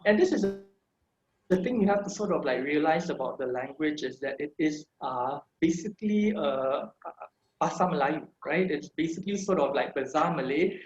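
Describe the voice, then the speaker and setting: Singapore-raised male presenter on a video call, casual and rambling, roomy muffled sound. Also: a little high. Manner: chatty, many fillers and tag questions. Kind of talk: online talk with slides. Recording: echoey room, dull lo-fi video call audio.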